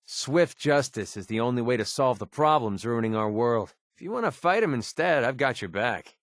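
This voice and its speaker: An actor playing a wry, world-weary young mercenary in a video game, with an American accent and a casual, sarcastic way of talking. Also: male voice, mid-range voice, lively intonation, normal speed, dry recording, clean studio sound, natural voice. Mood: cool, tough. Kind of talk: video game character voice lines.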